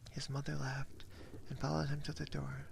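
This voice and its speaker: A man reading a story aloud as ASMR in a soft, hushed, unhurried whisper, close to a binaural microphone. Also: mid-range voice, completely flat delivery, gravelly, background noise. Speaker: ASMR streamer.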